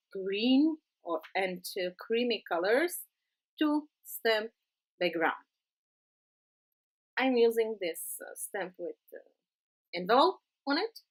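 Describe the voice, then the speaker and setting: A woman with a Czech accent, a non-native English speaker, narrating a card-making tutorial in a halting way with many pauses. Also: a little high, low-quality recording. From Czech Republic. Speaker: crafting tutorial host.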